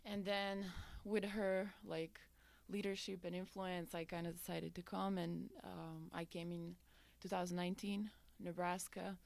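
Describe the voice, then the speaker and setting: Young Serbian woman, gravelly low voice. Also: little pitch movement, a little slow, darkish tone, no room sound. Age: young adult. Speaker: student-athlete guest. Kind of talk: casual interview answers.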